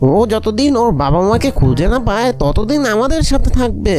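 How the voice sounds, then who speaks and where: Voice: medium pitch, very animated, brisk, natural voice. A performer voicing a sly but kindly jackal husband in a Bengali cartoon, grumbling and colloquial. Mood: explaining. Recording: music behind the voice.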